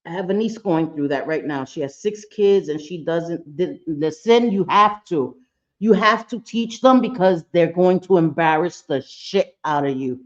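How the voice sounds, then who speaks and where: A middle-aged woman talking plainly and bluntly, with a low voice she calls manly and a habit of laughing between points. Her speech is salty and casual, like a host riffing live. The audio sounds a bit muffled and lo-fi. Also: unhurried. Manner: blunt, profane, laughing often. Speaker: livestream host. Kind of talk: casual reaction commentary.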